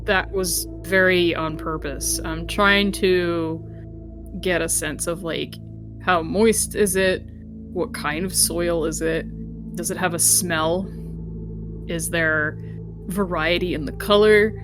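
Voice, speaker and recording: A woman's voice: fairly low pitch, fairly even intonation, takes it slowly, over music. A woman chatting casually as a player in a tabletop role-playing game, thinking aloud.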